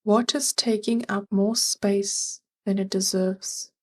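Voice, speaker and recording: A woman leading a scripted guided meditation, giving gentle, measured and soothing instructions. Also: medium pitch, fairly even intonation.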